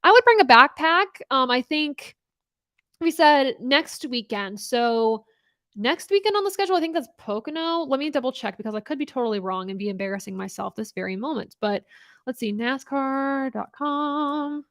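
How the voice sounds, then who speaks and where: A woman's voice: high-pitched, lively intonation, normal speed, a bit bright, no echo, clean studio sound. A young woman hosting a live racing talk stream, chatting casually and answering viewer questions with an upbeat, laughing manner. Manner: chatty, upbeat, laughs often, many fillers and restarts.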